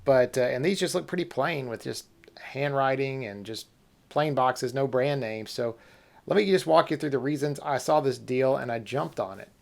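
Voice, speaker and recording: A man's voice: medium pitch, moderate pace, gravelly. A man narrating a casual hobby video as its host, in a conversational, explanatory way. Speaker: vlog host.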